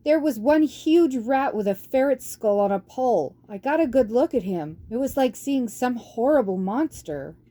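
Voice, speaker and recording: A woman's voice: a little high, expressive, normal speed, few pauses, mellow, pitched above the performer's own voice. Woman playing an eager young mouse. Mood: earnest, excited. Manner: eager, earnest. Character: earnest, excitable young male mouse.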